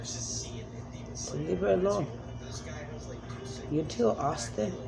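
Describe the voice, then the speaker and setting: A woman's voice: fairly low pitch, drawn-out words, vocal fry, crowd noise. A woman speaking as a self-described spirit medium in a rambling, emphatic monologue, pleading and repeating commands.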